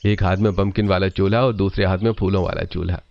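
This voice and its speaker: Guy narrating a family story, lowish voice, calm even read. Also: fast talker, vocal fry, lo-fi sound.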